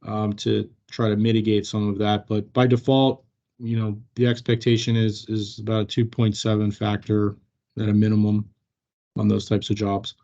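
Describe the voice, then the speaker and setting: Halting man explaining in dull meeting audio. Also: fairly low pitch, completely flat delivery, warm tone. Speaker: company trainer answering staff questions. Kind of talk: informal work training discussion.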